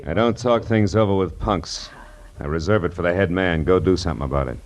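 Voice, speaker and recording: An actor playing a tough, wry private detective, deadpan and wisecracking, narrating a 1940s radio noir. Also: male voice, sits low, fairly quick, natural voice. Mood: defiant, wisecracking. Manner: hard-boiled, wisecracking, deadpan.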